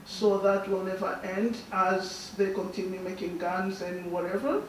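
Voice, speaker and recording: A young woman from Burundi whose first language is Swahili, speaking as a guest and answering audience questions in a soft, halting way, often laughing. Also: medium pitch, steady tone, slight background noise. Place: school hall. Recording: echoey hall, distant mic.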